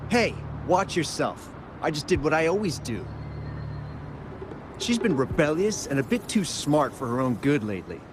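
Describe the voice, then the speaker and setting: Smug, animated male crook. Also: a little high, moderate pace. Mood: dismissive, smug. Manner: cocky, dismissive. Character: smug, callous male crook posing as exile. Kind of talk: video game voice acting. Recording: clear background ambient noise.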